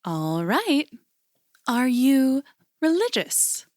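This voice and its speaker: Slow, lilting woman interviewer. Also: sits high, very animated, darkish tone, no room sound, studio quality, full character voice. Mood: condescending, probing. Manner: polished, measured, patronizing. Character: overly pleasant, condescending female agency interviewer. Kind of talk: audio drama acting.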